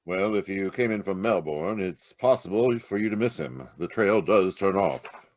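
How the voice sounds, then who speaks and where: A man acting a steady, authoritative Mountie sergeant in an old-time Yukon radio drama. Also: low voice, normal speed. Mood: steady, questioning. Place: home, live online video performance.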